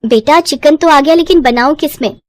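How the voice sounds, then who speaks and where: A woman voicing a poor young village mother, tender but weary, in an expressive cartoon-story manner. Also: sits high, fairly quick, dry recording, studio quality, voice pushed up, lightly acted. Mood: troubled. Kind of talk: Hindi moral-story cartoon dialogue.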